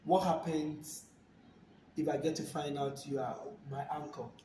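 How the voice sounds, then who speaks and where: A Ghanaian man talking casually and thoughtfully, pausing and repeating words, recorded on a phone from a distance in a room. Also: fairly high pitch, fairly even intonation, warm tone, roomy sound, slight background noise. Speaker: guest. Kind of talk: casual conversation.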